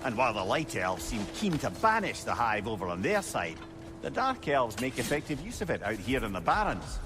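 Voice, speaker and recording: Lively, wry old Scottish companion. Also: male voice, medium pitch, fairly quick, music in the background. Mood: knowledgeable. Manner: eloquent, wry, expressive. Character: chatty, wise, wry old male storyteller companion. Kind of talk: video game dramatic dialogue.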